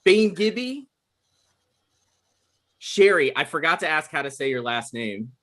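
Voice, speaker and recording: A man's voice: fairly high pitch, big pitch swings, a little slow, a bit bright. Rambling, self-deprecating man hosting. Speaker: writer hosting a table read. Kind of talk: casual introduction of a cast.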